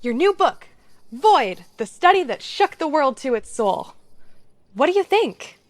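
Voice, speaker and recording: An actress playing a pushy, upbeat book publisher in an audio drama, brisk and persuasive. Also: female voice, sits high, sing-song. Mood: pushy, enthusiastic.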